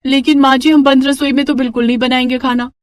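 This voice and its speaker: A woman's voice: high-pitched, level tone, fast, voice pushed up, natural voice. A woman voicing a fed-up young daughter-in-law in a storybook tale, complaining plaintively. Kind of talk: animated Hindi moral story dialogue.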